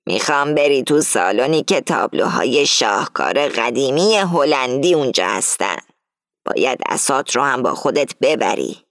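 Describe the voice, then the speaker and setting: A woman reading as an older female gang leader who lays out a heist plan firmly and deliberately. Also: very deep voice, lively intonation, dry recording, studio quality, voice pushed down. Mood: scheming, instructing. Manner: firm, explaining slowly and deliberately.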